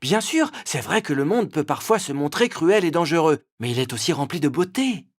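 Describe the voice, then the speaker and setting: A woman's voice: deep voice, lively intonation, moderate pace. A woman playing a gallant, earnest young prince in a children's cartoon fairy tale. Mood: indignant, persuasive. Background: faint music.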